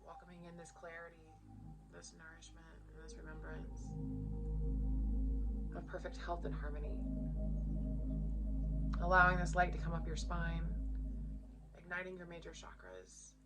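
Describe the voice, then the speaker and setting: Female yoga teacher, low-pitched, soothing and unhurried, leading spiritual guided meditation with prominent music underneath. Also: sing-song. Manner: soothing, unhurried, gentle phrasing with pauses.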